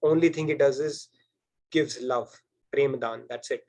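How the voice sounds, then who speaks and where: A man's voice: mid-range voice, fairly even intonation, normal speed, a little mellow. A man hosting an online session, explaining fluently over a video call and checking in with "right?". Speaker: host.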